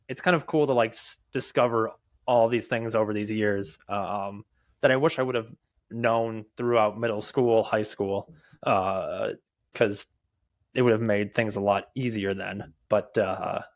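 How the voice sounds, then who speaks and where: A young man who stutters, answering interview questions candidly as a podcast guest, with halting restarts, repeated words and many fillers. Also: on the low side. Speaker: podcast guest, mobile developer and conference speaker.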